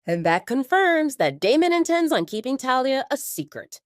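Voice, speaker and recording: A woman's voice: high voice, very animated, normal speed, clean tone, no room sound, voice pushed up. Lady doing a loyal pack guy, blunt lecturing tone, a bit raised, dry close sound. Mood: taunting. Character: loyal male pack aide, taunting and blunt.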